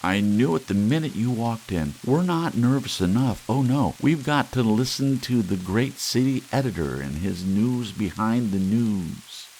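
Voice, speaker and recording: Man reading as a sarcastic fed-up wife, raised pitch, creaky, storybook dialogue, rain hiss. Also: a little slow, few pauses, low-quality recording. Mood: bitterly sarcastic. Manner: biting, sarcastic. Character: sharp-tongued, sarcastic, exasperated wife. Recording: steady hiss, rain sound underneath.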